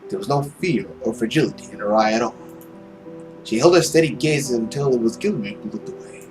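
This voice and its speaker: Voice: medium pitch, brisk, music in the background, poor audio. A video host reading a visual novel aloud as a theatrical narrator, with casual asides.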